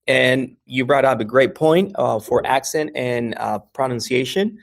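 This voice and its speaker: A man's voice: medium pitch, unhurried, gravelly. A man with a Mexican American accent, a language educator talking casually as an interview guest, often checking in with "right?" between thoughts. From New York, USA. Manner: frequent "right?" and "uh" fillers, restarts.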